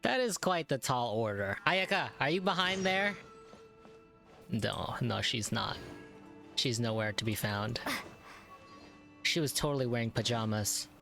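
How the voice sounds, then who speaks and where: A woman's voice: rumbling low, animated, measured pace, gravelly, long pauses, a bit bright. A woman giving casual gameplay commentary, reacting informally with sarcastic asides. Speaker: gamer reacting to game. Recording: game music faintly behind. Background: faint game music.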